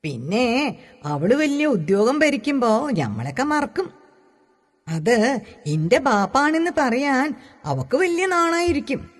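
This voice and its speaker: A woman's voice: sits high, sing-song, slow, pauses often, voice pushed up, heavily acted. A woman reading the part of a fond, opinionated village father, a talkative trader speaking colloquial dialect in a storybook. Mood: teasing, proud. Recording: long hall-like reverb.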